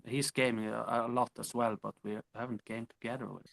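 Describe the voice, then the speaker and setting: A man's voice: medium pitch, flat delivery, fairly quick, creaky, fairly bright tone, dry recording, low-quality recording. A man who is a musician guest in a casual interview, answering hesitantly with many fillers.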